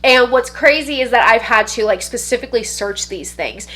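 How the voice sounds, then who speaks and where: Congested, bright-voiced woman vlogger. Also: fairly high pitch, lively intonation, moderate pace, slight background noise. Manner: blunt, profane and emphatic; slangy, says "bro" and "dude". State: congested, stuffy nose. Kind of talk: casual monologue to camera.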